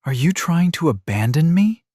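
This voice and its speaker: A man's voice: fairly high pitch, big pitch swings, moderate pace, mellow, no echo, clean studio sound, voice pushed up. A male narrator voicing a playful, teasing young woman adventurer, raising his voice well above his own to play her. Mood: anxious.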